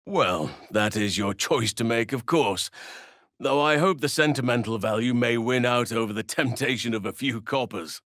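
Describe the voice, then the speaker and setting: An actor playing a gentle, earnest druid and guardian of children in a fantasy game, speaking in formal, measured, courtly phrases. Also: male voice, medium pitch, no echo, clean studio sound, lightly acted. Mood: tender, sincere.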